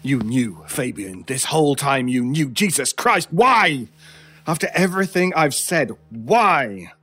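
A man's voice: medium pitch, expressive, measured pace, husky, full character voice. An actor playing a desperate, obsessed monster hunter in an audio horror drama, urgent and accusing, swearing as he talks. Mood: bitter, accusing. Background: loud dramatic music.

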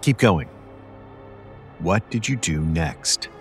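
An actor playing a weary, troubled male doctor who gently and gravely interviews a survivor in an audio drama. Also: deep voice, lively intonation, soft background music. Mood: gently prompting. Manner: slow, hesitant, grave.

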